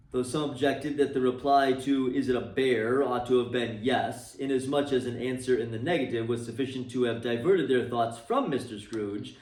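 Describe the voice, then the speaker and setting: A man giving an expressive, dramatic storybook reading of a classic tale for a library, recorded in a roomy space from a distance. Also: mid-range voice. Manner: expressive, swooping phrasing. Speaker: reader for a library.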